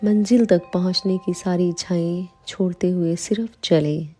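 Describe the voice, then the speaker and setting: A woman leading a guided meditation, calm and soothing, giving unhurried instructions with long pauses between phrases. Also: fairly low pitch. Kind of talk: slow guided meditation in Hindi.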